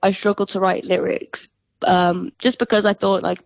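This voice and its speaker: A woman's voice: mid-range voice, little pitch movement, normal speed, gravelly, no echo. A young woman, a singer-songwriter, chatting casually and reflectively as a guest on a phone interview. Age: young adult. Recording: dull, lo-fi phone interview line.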